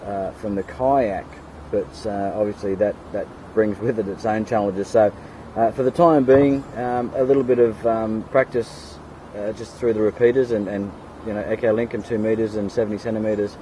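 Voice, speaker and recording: An Australian man chatting casually as an amateur radio operator, pausing often, recorded outdoors. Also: on the low side, poor audio. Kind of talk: casual radio chat with spelled callsigns. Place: outdoors in a kayak on a creek. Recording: outdoor recording with steady background noise.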